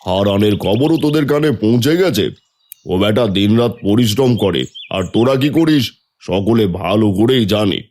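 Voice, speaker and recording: A man playing a stern, scornful but fair village headman in an animated story, scolding and giving orders. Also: on the low side, moderate pace. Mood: mocking. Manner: scolding, commanding.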